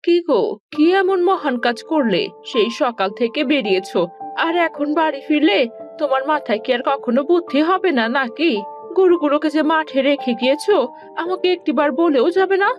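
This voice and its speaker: Shrill-pitched scolding village wife acted by a woman. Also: lively intonation, moderate pace, muffled recording, soft background music. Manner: scolding, complaining. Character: sharp-tongued, exasperated village farmer's wife. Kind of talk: cartoon voice acting.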